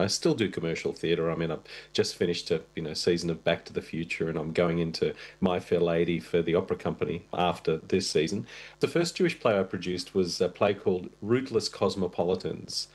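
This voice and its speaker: A middle-aged man who grew up in Russia, an actor and producer chatting candidly as an interview guest, with wry asides. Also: low voice. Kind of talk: conversational interview.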